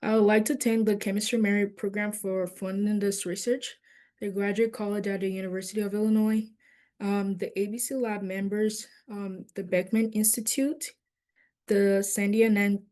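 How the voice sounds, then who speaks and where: A woman's voice: mid-range voice, fairly even intonation, moderate pace, warm tone. A woman student wrapping up her presentation and answering questions on a video call, polite with um fillers. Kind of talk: presentation closing and Q&A.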